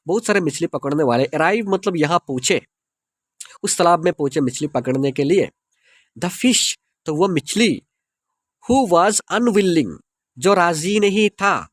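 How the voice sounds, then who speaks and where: A man with an Indian accent teaching English through a story, reading English lines slowly and explaining them fast in Hindi. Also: high voice, no room sound.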